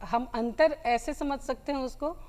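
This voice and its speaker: A woman from Bihar speaks as a folk singer and interview guest. Her voice is very high, and she takes her time, moving at a markedly slow pace. She phrases things in a reflective, halting way, conversational throughout. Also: darkish tone, slight background noise.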